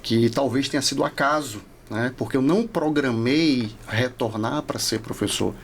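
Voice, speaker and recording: Male podcast guest, 40s, Northeastern Brazilian accent, creaky medium-pitched voice, reflective delivery, faint background noise. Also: moderate pace. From Salvador, Bahia, Brazil. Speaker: podcast guest, law professor. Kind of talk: casual interview chat.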